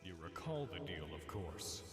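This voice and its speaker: A man's voice: low voice, sing-song, normal speed, reverberant. A smug, cryptic swordsman whose voice swings up and down a lot, as an actor plays him. He delivers his lines dryly, with faint music underneath. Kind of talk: comedic fan-dub acting.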